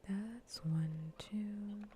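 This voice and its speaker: A woman streamer chatting casually in a soft, breathy whisper on an ASMR gaming livestream, rambling and repeating phrases, close on the microphone. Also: medium pitch, very slow, slight background noise.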